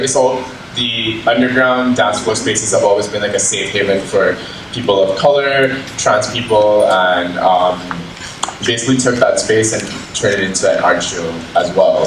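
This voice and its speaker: A man speaking informally as a panelist, introducing the work he does. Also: fairly low pitch. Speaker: panelist, arts collective member. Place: indoor public panel event. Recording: echoey room, distant mic, noisy.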